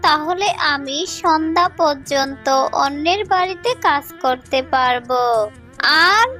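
A hopeful cartoon mother fox acted by a woman with a high, bright voice. She pleads earnestly over faint music. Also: measured pace. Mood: hopeful, pleading. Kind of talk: Bengali children's cartoon dialogue.

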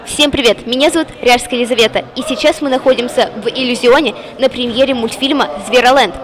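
A woman's voice: a little high, fast. A woman working as a TV reporter, presenting and asking questions on location in a cinema foyer. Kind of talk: on-location vox-pop interviewing. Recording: busy public hall with crowd.

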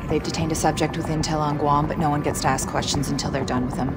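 A woman's voice: low-pitched, flat delivery, quick pace, close to an everyday voice. An actress playing a professional female intelligence officer in a game, matter-of-fact, clipped and controlled. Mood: serious. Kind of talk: cinematic game acting. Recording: loud music behind dialogue.

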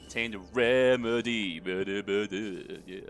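A man's voice: on the low side, measured pace, soft background music. A man hosting a gaming video with casual, joking, sweary commentary and an irreverent streak. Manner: irreverent, sweary, riffing on the characters.

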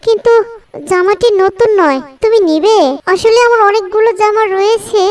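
A woman's voice: extremely high pitch, normal speed. A woman playing a young girl in a children's moral cartoon, an earnest, emphatic, loving daughter full of remorse. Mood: kind, earnest.

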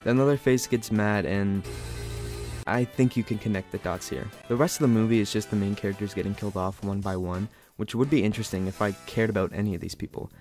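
A man's voice: on the low side, a little fast, a little mellow, faint music behind. A man giving a scripted, sarcastic movie review, talking in an exasperated, critical way.

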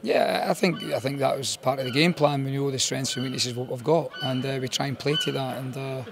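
A man's voice: medium pitch, fairly quick, vocal fry, background noise. A Scottish man in his fifties, a football manager giving a post-match interview in an earnest, repetitive way. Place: bare indoor room with painted brick walls.

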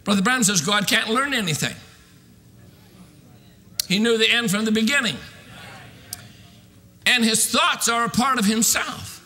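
A man in his sixties preaching a fervent sermon in an emphatic, declamatory way with drawn-out stressed phrases. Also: high voice, sharp tone. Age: 60s. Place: large church sanctuary, at a pulpit. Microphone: podium microphone. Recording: reverberant hall sound.

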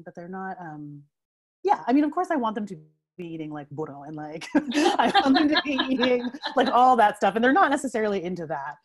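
A woman in her forties chatting on a video call from home through wired earbuds, laughing often, with fillers and restarts. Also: lowish voice. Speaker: interview guest, writer. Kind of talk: casual video call conversation. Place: home room with bookshelves.

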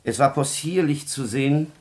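An actor playing a cold, scheming secretary in a 1950s German radio drama, measured, formally polite and insinuating. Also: male voice, medium pitch, close to an everyday voice. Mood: cold dictating. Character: cold scheming secretary dictating, oily and mocking.

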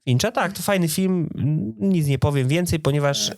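A man's voice: on the high side, normal speed. A man in his thirties co-hosting a podcast, explaining things conversationally with small hesitations in casual chat. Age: 30s. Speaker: podcast co-host.

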